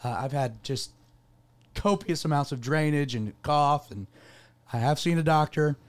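A man with a drawl hosts a faith-based radio show in a studio, his voice lost and cracking between squeaks and rasp. He pitches a touch high and rambles warmly with fillers. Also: a little slow. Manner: good-humoured, rambling with fillers. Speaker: pastor and radio show host. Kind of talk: casual radio talk show. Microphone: studio microphone.

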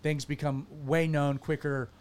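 Animated man hosting a church podcast. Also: fairly high pitch, a little slow. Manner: animated, self-deprecating, frequent restarts. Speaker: pastor and podcast host. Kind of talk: casual panel chat.

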